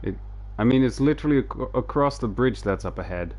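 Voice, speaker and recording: A man's voice: medium pitch, animated, fairly quick, darkish tone, lo-fi sound. A man casually commentating a game livestream and reading dialogue aloud, hesitant and rambling, with a dryly joking touch. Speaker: video game streamer. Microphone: close streaming microphone. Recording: game music behind the voice.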